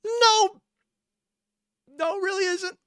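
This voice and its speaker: A reaction video host giving casual commentary in a halting, emotionally invested way. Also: very high voice, a bit bright, no room sound, clean studio sound.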